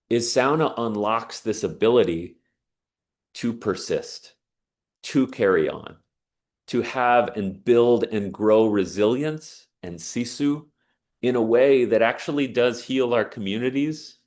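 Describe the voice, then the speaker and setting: A man's voice: fairly low pitch, a little mellow. Earnest man giving an inspirational talk. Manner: earnest, emphatic, storytelling. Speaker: speaker on sauna and resilience.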